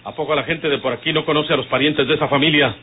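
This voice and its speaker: Suspicious rural Mexican outlaw boss, irritable, fairly high-pitched, muffled vintage radio serial. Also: male voice, fairly even intonation, fast talker, husky. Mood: irritated, suspicious. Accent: rural northern Mexican. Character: gruff, suspicious, short-tempered male bandit leader. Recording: muffled, hissy old radio recording.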